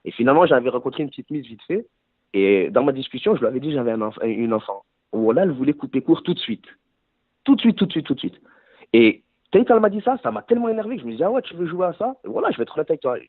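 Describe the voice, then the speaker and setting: Fast-talking thirties man on a phone line. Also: mid-range voice, sing-song, slightly rough, no room sound. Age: 30s. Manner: fast, storytelling, self-correcting. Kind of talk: casual debate over a phone call. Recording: tinny phone line, dry.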